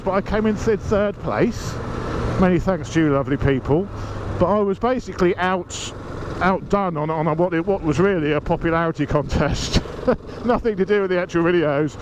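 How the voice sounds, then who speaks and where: A man talking casually while riding a motorbike, chatty and cheeky with the odd swear word, snuffly from hay fever. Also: high-pitched. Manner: chatty, cheeky and self-mocking, swearing now and then. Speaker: motorcycle vlogger. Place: riding a motorbike outdoors on urban roads. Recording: loud wind and traffic noise.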